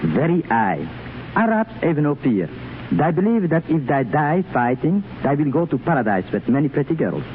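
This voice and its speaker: A man's voice: fairly high pitch, very animated, moderate pace. A man speaking as an interview guest on 1930s radio, earnest, declarative and slightly stilted. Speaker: former French Foreign Legionnaire, radio guest. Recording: scratchy, tinny 1937 radio broadcast.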